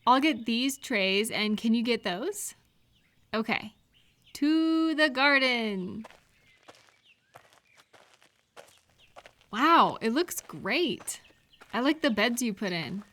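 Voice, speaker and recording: An actress plays a caring woman friend in an intimate roleplay audio. Her voice sits a little high and moves at an unhurried pace. She is gentle and cheerful, asking attentive questions and leaving room for the answers. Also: lively intonation, heavily acted. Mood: cheerful, excited. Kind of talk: scripted one-sided roleplay audio, addressed to the listener.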